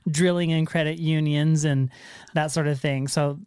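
A man's voice: fairly high pitch, fairly even intonation, normal speed, darkish tone, clean tone, no room sound, clean studio sound. A man hosting a casual podcast with his father, talking in a playful, jokey way. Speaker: podcast host. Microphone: handheld recorder.

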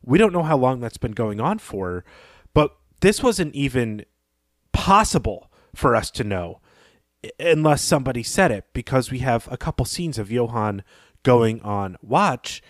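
A male co-host discusses fiction in a middle-pitched voice. He sounds reflective, piecing his analysis together as he talks. The recording is dry and free of echo. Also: very animated, a little slow. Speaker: podcast co-host. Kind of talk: conversational literary story analysis.

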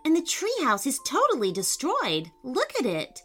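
An eager, awestruck young boy from a children's storybook , acted by a woman, exclaiming in a high voice that swoops about. Music plays behind the voice. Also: wildly swooping pitch, normal speed, fairly bright tone, full character voice. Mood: startled. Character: excitable young boy, awestruck comic-book fan.

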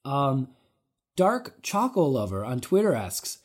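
A man's voice: mid-range voice, huge pitch swings, takes it slowly, slightly rough. A man co-hosting a podcast, upbeat as he reads out listener questions and plugs a website. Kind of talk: casual interview chat.

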